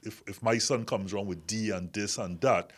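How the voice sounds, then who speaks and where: A man's voice: on the low side, steady tone, normal speed. A man, hosting a casual panel chat and questioning ideas as he thinks aloud.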